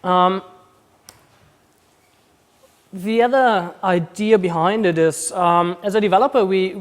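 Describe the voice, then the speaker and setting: A man's voice: sits high, moderate pace. A man from Austria whose accent blends Austrian German and New Zealand, giving a casual conference talk with many ums and restarts. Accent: mixed Austrian German and New Zealand. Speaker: conference speaker. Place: conference room.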